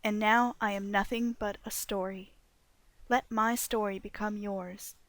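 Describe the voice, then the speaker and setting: A woman reading dramatic first-person fiction as a passionate, defiant leader, in a solemn, deliberate, oratorical manner. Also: mid-range voice, fairly even intonation, a bit bright, dry recording. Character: Kalara Vadras, passionate defiant woman leader recounting her memoir. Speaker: author narrating own fan fiction audiobook.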